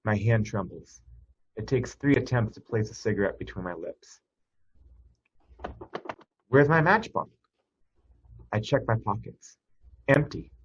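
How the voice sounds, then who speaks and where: A man with a husky voice reads a suspense short story aloud in a tense, expressive first-person style. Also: lowish voice, dull audio. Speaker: story reader. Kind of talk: dramatic scripted narration of a suspense short story.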